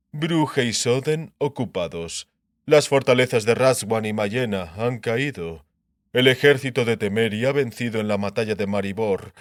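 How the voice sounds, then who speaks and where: A man's voice: medium pitch, dry recording, lightly acted. Guy doing a storybook king giving a strategy lecture, sure of himself, very dry close sound. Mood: grave, emphatic. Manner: didactic, declarative, rhetorical questions. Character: lecturing king, assured, sharp-minded, explaining war strategy. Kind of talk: dramatised audiobook dialogue in Spanish.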